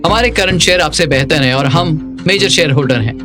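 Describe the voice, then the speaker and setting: A man plays a businesslike, assertive company associate in a dubbed drama. His voice is high for a man, and music plays clearly behind him. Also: fairly quick, close to an everyday voice. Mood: formal, assertive. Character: matter-of-fact corporate ally laying down shareholder rights.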